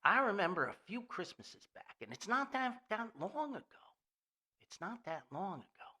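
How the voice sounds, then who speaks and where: An older American man hosting a podcast in a rambling, nostalgic monologue, folksy, halting and enthusiastic. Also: high voice, very animated, sharp tone. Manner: folksy, halting, enthusiastic, refers to himself by name. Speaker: podcast host.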